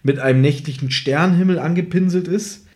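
A man's voice: on the high side, a little fast, darkish tone. A man chatting casually as a podcast host, retelling and critiquing an audio drama in an informal, opinionated, teasing way.

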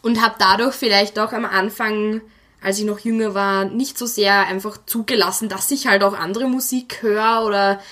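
A woman's voice: mid-range voice, fairly even intonation, moderate pace, bright, forceful tone. Chatty young woman laughing often. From Austria. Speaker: interviewee. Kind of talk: casual interview talk.

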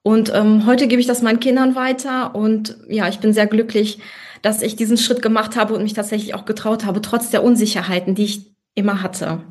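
A woman in her thirties, born in Russia and raised in Germany, telling her personal story as a podcast guest with many pauses and fillers. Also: medium pitch, flat delivery, a little mellow. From born in Russia, grew up in Germany. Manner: hesitant, many fillers and restarts.

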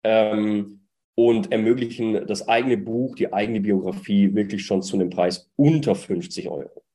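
A man's voice: on the low side, normal speed, warm tone. A man speaking as a startup founder in an interview, giving conversational, detailed explanations of his experiences.

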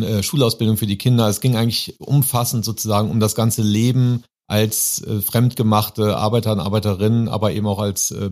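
Low-pitched man hosting a discussion. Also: dark tone. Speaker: podcast host and interviewer. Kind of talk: political discussion, long reflective questions.

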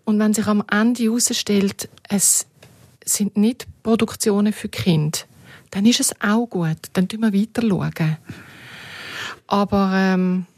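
A woman's voice: medium pitch, slow pace, a little mellow, dry recording. Woman guest in a reflective conversation, mid-range voice, phrase-by-phrase delivery, no room sound.

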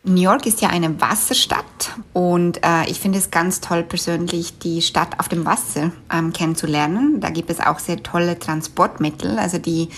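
Low-voiced Swiss woman, thoughtful answers. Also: moderate pace, few pauses, a little hiss or hum. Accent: Swiss German. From Switzerland. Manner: thoughtful, with frequent fillers and restarts. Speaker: interview guest. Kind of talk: remote interview answers.

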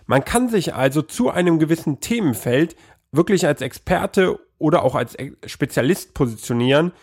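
Male podcast host, slightly raised pitch, bit of a cold, cheerful, welcoming. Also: expressive, moderate pace, breathy edge. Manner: upbeat, welcoming, hesitant with fillers when asking. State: has a cold. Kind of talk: podcast intro and interview.

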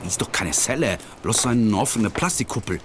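Male actor as pedantic young detective, radio-play style, animated low voice over noisy street ambience. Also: a little fast, natural voice. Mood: fussy. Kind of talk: German children's radio play acting.

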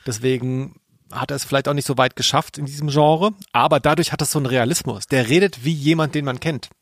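A man's voice: mid-range voice, fairly even intonation, fast, dry recording, studio quality. A man co-hosting a podcast in casual, analytical chat, often checking for agreement with little tag questions. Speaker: podcast co-host.

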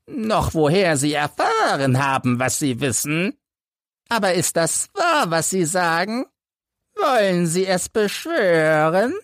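Casting: male narrator as a crippled old veteran, bitter and emphatic, slow storybook delivery with swinging pitch. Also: huge pitch swings, a bit bright, dry recording, studio quality, heavily acted. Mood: alarmed, defensive. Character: embittered hunchbacked old ex-soldier telling his life story.

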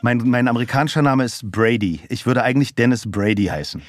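A man in his fifties from Germany chats as a studio guest. He tells his story at an easy pace, with plenty of ums and uhs. The sound is dry and close, and his pitch sits in the middle. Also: quick pace, no room sound. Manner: open, relaxed storytelling with frequent fillers. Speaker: podcast guest, voice actor. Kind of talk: casual interview chat.

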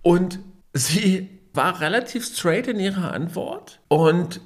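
Male podcast co-host, fairly high voice, loose storyteller with lots of fillers. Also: animated, slow. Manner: storytelling, many fillers and restarts. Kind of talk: casual business podcast chat.

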